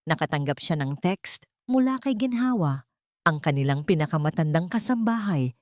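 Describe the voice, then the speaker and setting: Animated woman narrator, dry close sound. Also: low voice, no room sound. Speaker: storyteller, narrator. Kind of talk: scripted dramatic story narration.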